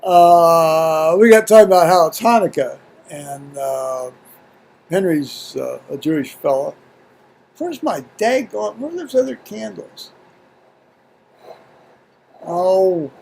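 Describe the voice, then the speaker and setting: An American man video blogging at home in a halting, folksy, rambling way, muttering to himself and reading to viewers. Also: on the high side, expressive, gravelly. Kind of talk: rambling casual chat with scripture reading. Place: at home, indoors.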